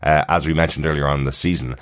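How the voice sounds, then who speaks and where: A man's voice: very deep voice, fairly even intonation, racing, clear, resonant tone. A man hosting a podcast, chatting casually and explaining his take on TV and listener feedback. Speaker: podcast host. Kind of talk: casual TV review chat, reading listener feedback.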